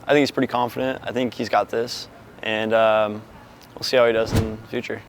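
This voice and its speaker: Young male athlete, flat monotone, slight background noise. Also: lowish voice, fairly quick. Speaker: student athlete. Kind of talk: interview.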